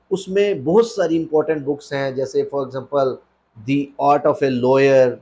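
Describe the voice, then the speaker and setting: A man's voice: mid-range voice, darkish tone, low-quality recording. A man with a Pakistani accent giving an explanatory legal lecture as a lawyer, mixing in English terms, in a didactic way that repeats phrases for emphasis.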